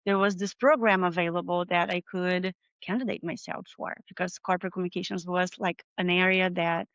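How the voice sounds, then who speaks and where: A middle-aged Brazilian woman speaks English with a clear Brazilian Portuguese accent and a smooth, resonant voice. She talks openly and thoughtfully, with a few small non-native slips. The sound is dry and a little muffled. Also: fairly low pitch, steady tone, fairly quick, fairly bright tone, no echo. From southern Brazil. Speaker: interview guest, marketing manager. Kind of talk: video interview conversation.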